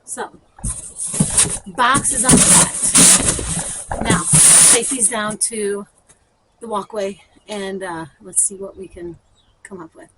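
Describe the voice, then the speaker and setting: A woman's voice: medium pitch, steady tone, slow pace, pauses often, heavy background noise. A woman chatting casually and thinking aloud as she demonstrates for a garden vlog. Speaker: garden vlogger.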